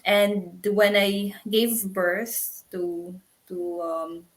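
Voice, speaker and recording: A woman in her thirties from the Philippines, a guest in a casual interview, speaking haltingly with repeated words and frequent laughs. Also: mid-range voice, steady tone. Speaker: guest, business owner.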